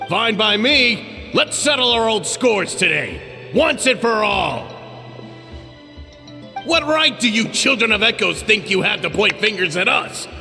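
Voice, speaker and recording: Vengeful villain, piercing, gravelly, confrontational, video game, long reverb. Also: male voice, high-pitched, big pitch swings, measured pace, long pauses, pushed and bright, heavily acted. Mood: bitter, accusing. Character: aggrieved, vengeful male villain. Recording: long reverb under music.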